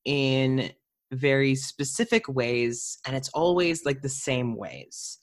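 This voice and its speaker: A woman interviewer and actor chatting casually, animated and slipping into imagined quotes as she talks. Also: a bass voice, slow.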